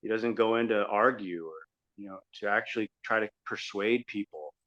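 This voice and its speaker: A man's voice: on the low side, normal speed, fairly bright tone. A man co-hosting a podcast, giving argumentative, critical political commentary in a conversational style. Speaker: podcast co-host. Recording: dull lo-fi audio.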